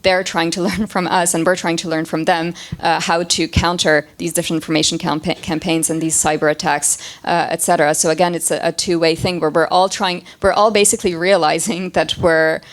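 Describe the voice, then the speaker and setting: A woman answering on a discussion panel, hesitant, with many ums and light laughs. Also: fairly low pitch. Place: event hall with live audience.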